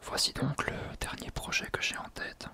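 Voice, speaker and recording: Man whispering softly, ASMR style. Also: moderate pace. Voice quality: breathy, unvoiced whisper. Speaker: ASMR video creator, host. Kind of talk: whispered ASMR talk to listeners.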